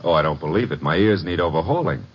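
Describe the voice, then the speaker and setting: A man's voice: low-pitched, lively intonation, fast. An actor playing a breezy, flirtatious detective's legman, delivering slangy, sardonic lines with swagger in a radio mystery. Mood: wisecracking. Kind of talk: 1950s radio detective acting. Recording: muffled, noisy vintage radio recording.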